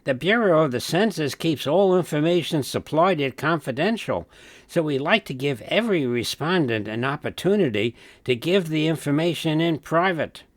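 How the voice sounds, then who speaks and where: A man's voice: fairly high pitch, lively intonation, normal speed, dry recording, voice pushed up. A man playing a courteous, patient census enumerator, explaining formally in a scripted training-film role play. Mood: polite, earnest.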